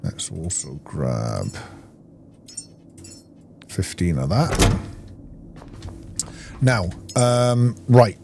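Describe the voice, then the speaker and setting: A man's voice: deep voice, very animated, very slow, vocal fry, long pauses, very noisy. A man giving casual gameplay commentary, thinking aloud in an informal way. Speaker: gaming video host.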